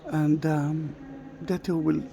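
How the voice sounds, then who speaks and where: A man whose first language is Hebrew, guiding a slow, halting walking tour inside a large stone church, recorded on a camera mic. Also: on the high side, flat delivery, creaky, background noise. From Israel. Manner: slow, halting, with many fillers. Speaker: tour guide.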